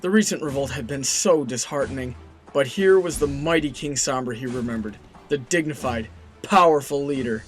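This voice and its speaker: A man's voice: medium pitch, normal speed, soft background music. A man reading scripted story narration in a dramatic storytelling style.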